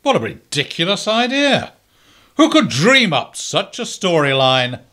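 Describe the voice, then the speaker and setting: A pompous, greedy villain sheriff, as a man acts him out, gloating in a bright, lively voice that leaps up and down. He savours every word slowly, in the manner of a village radio-play baddie. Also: high-pitched, exaggerated voice. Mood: scoffing. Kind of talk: amateur radio play acting.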